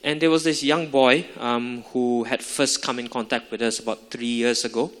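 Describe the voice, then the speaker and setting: A man who works with schoolchildren, telling a story in an animated way on a forum panel. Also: mid-range voice, moderate pace, big-room sound. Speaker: youth worker visiting schools. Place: conference hall.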